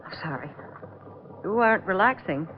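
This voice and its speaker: A woman's voice: lowish voice, animated, moderate pace, close to an everyday voice. Guarded young salon masseuse played by a woman, muffled radio. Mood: guarded, tense. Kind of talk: old-time radio drama acting. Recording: dull, noisy vintage radio recording.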